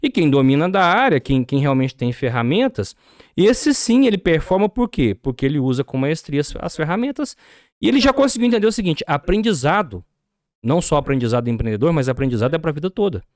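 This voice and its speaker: A Brazilian man with a Brazilian Portuguese accent speaks as a podcast guest, his pitch a little high and rising and falling in a lively way. He explains business ideas and asks himself rhetorical questions. The sound is dry and close. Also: a little fast, no room sound.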